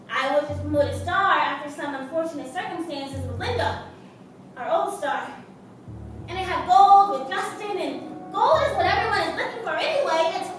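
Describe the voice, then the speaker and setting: High-voiced earnest young woman played on stage, echoey theater. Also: background noise. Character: earnest young human woman circus star, eager to belong. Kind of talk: stage play acting. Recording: very echoey theater, distant mic, music behind.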